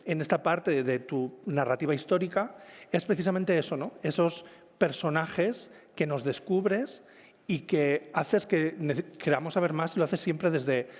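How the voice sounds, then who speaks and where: A man's voice: a little high, unhurried. A man hosting an informal literary interview in a chatty, rambling, self-correcting way, in a reverberant hall. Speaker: event host, interviewer. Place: live event in a reverberant hall. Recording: echoey hall, long reverb.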